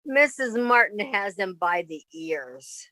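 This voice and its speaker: A woman's voice: medium pitch, expressive, slow pace, sharp tone, no echo. A woman playing a practical, sceptical young roommate in a radio comedy. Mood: amused. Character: sensible young woman, exasperated roommate Jane. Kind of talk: 1950s radio comedy acting.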